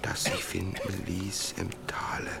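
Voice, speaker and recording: A man in a German radio play acting a solemn reader of a pious old chronicle, reading haltingly. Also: low-pitched, completely flat delivery, creaky, heavily acted.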